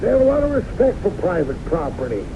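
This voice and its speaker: High-voiced, wheedling farmer husband. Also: male voice, sing-song, fast. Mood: coaxing, defensive. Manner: wheedling, stammering, folksy. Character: coaxing farmer husband tempted by money, reasoning with his wife. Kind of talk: old-time radio drama acting. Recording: dull, hissy old radio broadcast.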